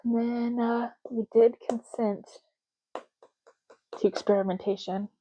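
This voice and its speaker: A woman speaking softly and unhurriedly in an ASMR roleplay, playing a chatty, matter-of-fact official in short murmured phrases. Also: a little high, steady tone, a little slow, dull audio, slight background noise. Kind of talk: soft-spoken ASMR roleplay.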